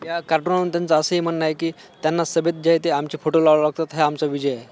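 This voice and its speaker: A man's voice: fairly high pitch, level tone, very fast, darkish tone, a little hiss or hum. A man with a Maharashtrian Marathi accent putting questions as a TV news reporter. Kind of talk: interview questioning.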